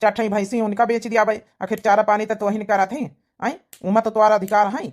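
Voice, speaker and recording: A woman's voice: medium pitch, fairly even intonation, brisk, a little mellow, lightly acted. A woman with an Awadhi accent playing a humble village labourer and devoted husband in a cartoon comedy, earnest and pleading.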